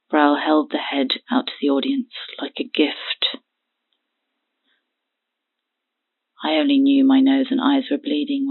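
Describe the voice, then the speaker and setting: Husky, haunted woman on the phone. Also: sits low, heavily acted. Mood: numb horror. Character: frightened, paranoid young woman recounting a horror. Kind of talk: audio horror drama monologue. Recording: thin, phone-like band-limited sound.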